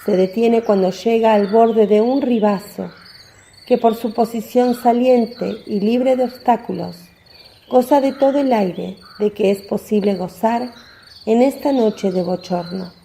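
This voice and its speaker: Very mellow, high-pitched woman narrator. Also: unhurried, gravelly, a little hiss or hum. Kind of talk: scripted dramatic narration of religious story.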